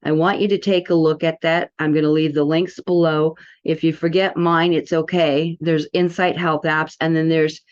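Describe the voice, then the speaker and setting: A woman's voice: low voice, little pitch movement, moderate pace, few pauses, darkish tone. A woman giving a casual product demo, chatty and enthusiastic as she speaks directly to viewers. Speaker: presenter demonstrating a health product. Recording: dull, lo-fi sound.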